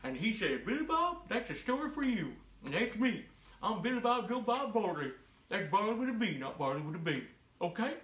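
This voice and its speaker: A man playing a folksy, goofy country trucker with a husky voice, performing a comic read-aloud for children. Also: high-pitched, very animated, fairly quick, fairly bright tone, exaggerated voice. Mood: folksy, joking. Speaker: storybook read-aloud host.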